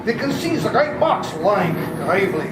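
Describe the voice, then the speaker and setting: A man's voice: high voice, very animated, moderate pace, heavy background noise. A man playing a commanding vampire hunter in an old-time radio drama, speaking in a dramatic, emphatic way. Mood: tense narration. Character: urgent, commanding male vampire hunter narrating the chase. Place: live performance in a public library. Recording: roomy live stage, music behind.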